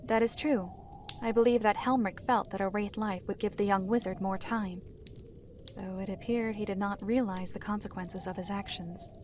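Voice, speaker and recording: An actress playing a formal, matter-of-fact storyteller who recounts a dark fantasy history in a measured way. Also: female voice, medium pitch, fairly even intonation, slight background noise, close to an everyday voice. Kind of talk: scripted fantasy narration. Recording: faint music behind the voice. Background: music.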